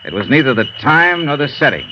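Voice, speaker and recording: A man's voice: fairly high pitch, big pitch swings, a little fast. An American man acting a tough, wisecracking insurance investigator in a 1950s radio drama, clipped and sardonic, with hardboiled narration. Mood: terse narration. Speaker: narrator and lead actor. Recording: muffled, noisy vintage radio recording.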